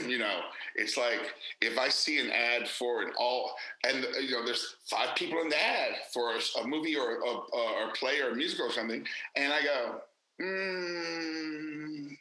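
A man's voice: medium pitch, moderate pace, bright, forceful tone, reverberant, rough recording. A man, an actor and director, speaking candidly and emphatically in a casual interview, with many fillers and restarts.

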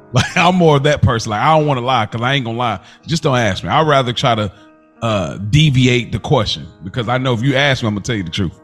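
Medium-pitched man chatting over music. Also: animated, fairly bright tone. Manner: straightforward, slangy, halting storytelling. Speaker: podcast co-host. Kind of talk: casual podcast chat. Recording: music playing behind the voice.

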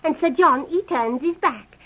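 An actress playing a loyal, simple English housemaid with a working-class accent, deferential and earnest, in 1940s radio drama style. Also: female voice, sits high, measured pace. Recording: muffled old radio recording.

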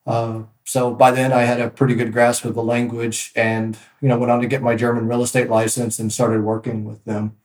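A man's voice: medium pitch, monotone, brisk, hardly any pauses, darkish tone, roomy sound. A man guest on a podcast, telling stories about his work in a casual, rambling way with many restarts. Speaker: podcast guest, real estate agent. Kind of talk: casual interview storytelling.

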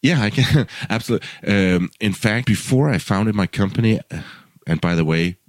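Deep-voiced Danish man telling his story. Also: normal speed, no echo. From Denmark. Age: 50s. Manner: repeats words and restarts often, chuckles, animated when quoting himself. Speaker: podcast guest, radio producer. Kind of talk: casual interview storytelling.

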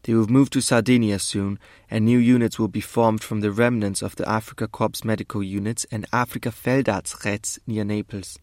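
A man playing a German army medical officer of the Second World War, reading his diary in a measured, formal way. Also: fairly low pitch, fairly even intonation, a little mellow, dry recording, studio quality, natural voice. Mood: matter-of-fact.

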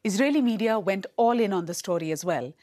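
Female reporter, scripted news voiceover, crisp, even-handed delivery. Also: mid-range voice, fairly quick. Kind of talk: scripted narration.

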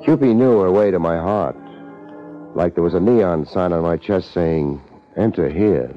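A man's voice: sits low, unhurried. A man acting as a world-weary, wisecracking private detective in a 1950s radio drama, talking in wry hardboiled slang. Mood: brooding, wry. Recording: muffled old radio drama with music.

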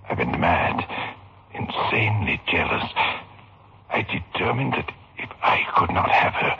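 An actor playing a jealous, solemn palm reader in a 1960s radio horror drama, speaking in halting, portentous phrases with a gravelly voice. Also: male voice, low voice, very noisy, exaggerated voice. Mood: bitter, intense. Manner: halting, portentous, drawn-out delivery. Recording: dull, band-limited old radio recording. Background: dramatic music.

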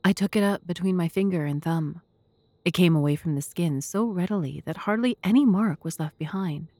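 Lowish, dry-recorded woman narrator. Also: brisk, dark tone, no echo. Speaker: narrator and host. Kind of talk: scripted audiobook-style narration.

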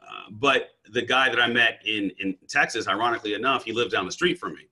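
A man talking casually and energetically in an interview about his work as a coach and speaker, with a deep voice and frequent restarts and stammers. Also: brisk, sharp tone, husky. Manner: slangy, says "bro".

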